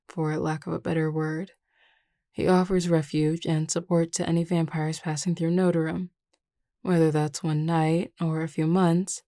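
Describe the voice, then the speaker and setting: A woman playing a confident, reassuring werewolf pack beta in a scripted audio roleplay, speaking in a measured, explanatory way. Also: sits low, dark tone, dry recording, clean studio sound.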